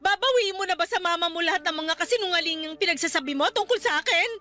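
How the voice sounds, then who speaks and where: A betrayed young Filipino housemaid, very high and bright in voice. She speaks with hurt pride and tearful, angry reproach, in radio drama style over a music bed. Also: quick pace. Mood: cold, bitter.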